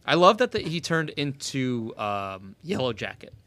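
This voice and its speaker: Bright-voiced man in casual chat. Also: mid-range voice. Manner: animated, swears freely, fast asides.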